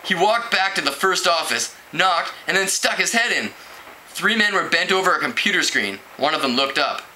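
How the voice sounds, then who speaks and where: A man with a very bright, slightly high voice reads a teen novel aloud. His delivery is expressive and animated, as an amateur storyteller's would be. The recording has clear background noise. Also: moderate pace. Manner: expressive, acts out dialogue with pitch jumps. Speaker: amateur book reader. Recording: clearly noisy home recording.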